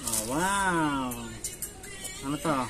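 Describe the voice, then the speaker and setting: A woman's voice: deep voice, expressive, very slow, over loud music. A Filipino woman chatting casually to viewers as she unboxes a parcel on camera. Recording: very noisy amateur recording with outdoor ambience.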